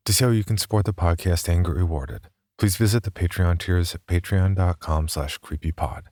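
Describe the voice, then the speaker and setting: A man's voice: low voice, darkish tone, dry recording, studio quality. A man reading scripted podcast announcements and credits as a host.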